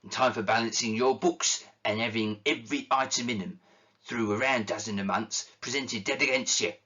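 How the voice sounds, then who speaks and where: A man's voice: mid-range voice, fairly even intonation, moderate pace, bright, forceful tone, husky, dull audio, pitched above the performer's own voice. A man voicing a stern, miserly old man who speaks in a curt, indignant, sneering way.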